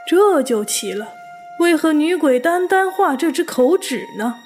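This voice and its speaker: A woman playing a shrewd, composed young gentleman detective in a period audio drama, with wry delivery. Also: high-pitched, lively intonation, measured pace. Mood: curious, wry. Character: cool, shrewd young gentleman sleuth of ancient China. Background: faint music.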